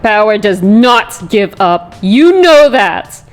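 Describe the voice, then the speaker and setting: A woman's voice: medium pitch, sing-song, slow pace. A woman voicing a broken, vengeful female villain in a comic dub, with a theatrical, anguished delivery. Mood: bitter, defiant.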